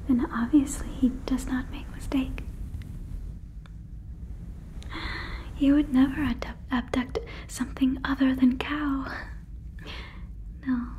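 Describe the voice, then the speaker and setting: Woman acting as a gentle female alien, slow close whisper, high soft mellow voice, stilted repetitive phrasing, soothing ASMR roleplay. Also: a little hiss or hum. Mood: earnest, insistent. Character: earnest, doting female alien convinced listener is a cow. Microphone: close fluffy microphone.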